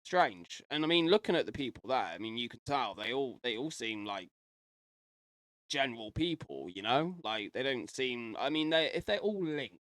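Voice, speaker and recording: A man's voice: mid-range voice, a bit bright, dry recording. Casual man reacting, dry close sound. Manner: speculative, rambling, mildly sweary. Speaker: reaction video host.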